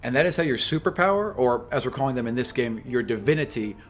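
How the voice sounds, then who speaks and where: A man's voice: medium pitch, lively intonation, fast, faint music behind. A man working as game master, narrating a tabletop fantasy adventure to his players.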